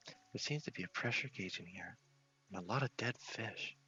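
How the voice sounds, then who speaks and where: A man giving soft, half-whispered ASMR commentary on a game playthrough, murmuring to himself and reading text aloud in an unhurried way. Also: fairly low pitch, muffled recording, soft background music. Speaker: game streamer, video host.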